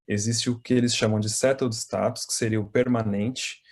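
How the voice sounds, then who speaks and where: A man from Brazil with a Brazilian Portuguese accent, presenting as a volunteer consultant at an online information session in a steady, explanatory way. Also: mid-range voice, normal speed. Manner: steady explanatory, frequent fillers.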